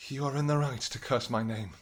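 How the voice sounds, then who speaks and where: A man playing a tender, protective vampire king in a roleplay audio drama, speaking formally and earnestly, with halting phrases. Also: medium pitch, fairly even intonation, close to an everyday voice. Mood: tender, remorseful.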